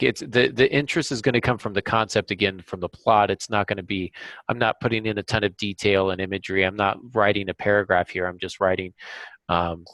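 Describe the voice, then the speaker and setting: A man hosting a writing podcast, explaining things casually in a halting way and often correcting himself. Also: on the low side, a bit bright, no echo, low-quality recording. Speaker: podcast host and novelist. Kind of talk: casual craft discussion with examples.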